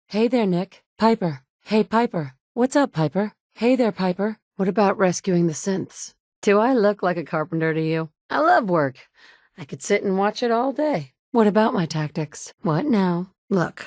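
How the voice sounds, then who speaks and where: A woman's voice: fairly low pitch, a little mellow, dry recording, clean studio sound. An actress voicing a tough, blunt woman survivor, the player character in a game, who is curt and assertive. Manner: curt and assertive, quick to snap back. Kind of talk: game voice lines.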